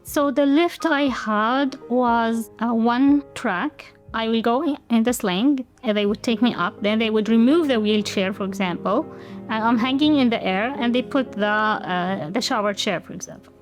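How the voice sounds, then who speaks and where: A woman from Morocco, a social worker, calmly telling her own story in a testimonial. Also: fairly high pitch, moderate pace. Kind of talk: personal testimonial. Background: faint music.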